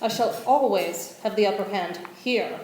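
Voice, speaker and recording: A woman's voice: mid-range voice, moderate pace, darkish tone, close to an everyday voice. A woman playing a gloating, menacing old male wizard in a radio play, with a theatrical, declamatory delivery. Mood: gloating menace. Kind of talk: stage-style radio play acting. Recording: echoey room, music behind.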